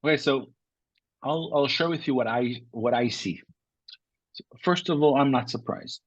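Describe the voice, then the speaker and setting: Israeli man, husky voice, dry sound. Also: mid-range voice, moderate pace, dry recording, lo-fi sound. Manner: thoughtful, with frequent restarts and uh fillers. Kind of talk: interview answers.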